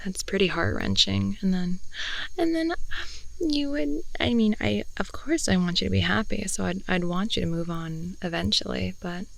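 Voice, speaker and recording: A woman playing an affectionate, rambling girlfriend in an intimate improvised ASMR roleplay, soft and hesitant, often slipping into whispers, close to the mic. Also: mid-range voice, moderate pace, gravelly, natural voice, rough recording. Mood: musing, affectionate. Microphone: close binaural headphone-oriented microphone.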